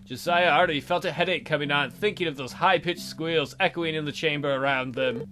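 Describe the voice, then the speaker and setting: A man's voice: a little high, brisk, bright, forceful tone, faint music behind. A man hosting a let's play, reading a visual novel aloud as dramatic narration with joking asides.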